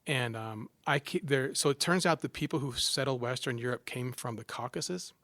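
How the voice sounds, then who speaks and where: A middle-aged man trades stories in a relaxed interview, his voice sitting at an ordinary pitch. He jokes at his own expense and tosses in quick asides. The sound is close and dry, with no room around it. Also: no room sound. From Southern California. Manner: animated, self-deprecating jokes, quick asides. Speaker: guest, psychology professor. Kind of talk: casual interview storytelling.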